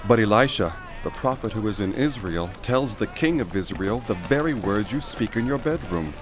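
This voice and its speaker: A man's voice: lowish voice, animated, moderate pace, a little hiss or hum, pitched above the performer's own voice, natural voice. A man voicing an army officer who answers his king respectfully. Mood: deferential. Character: deferential male army officer.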